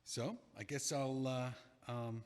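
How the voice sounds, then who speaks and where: A man's voice: mid-range voice, steady tone, slow pace, darkish tone, close to an everyday voice. An actor playing an older male friend, exasperated but caring, in an audio play. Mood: earnest, caring. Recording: echoey hall with long reverb.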